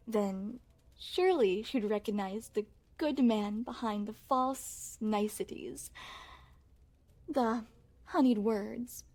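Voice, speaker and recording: A woman's voice: a little high, a little mellow. A woman playing a refined young crown princess in an ASMR roleplay, speaking formally and with coy poise straight to the listener. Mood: amused, confiding. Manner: formal, poised, genteel storytelling with coy amusement.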